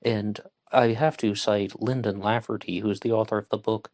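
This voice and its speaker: Low-voiced man hosting true crime. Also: fairly even intonation, a little mellow. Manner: earnest, measured, with frequent fillers. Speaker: podcast host. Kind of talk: true crime podcast monologue.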